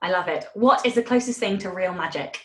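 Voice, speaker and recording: A woman hosting a casual, playful podcast interview, expressive and teasing in manner, recorded with a roomy sound. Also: lowish voice, brisk. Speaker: guest host interviewing the show's host.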